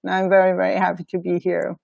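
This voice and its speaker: A woman's voice: fairly low pitch, completely flat delivery, fast talker, gravelly, darkish tone, no room sound. A woman from Italy with an Italian accent, informally introducing herself on an online call, searching for words. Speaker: web and graphic designer on a nonprofit team.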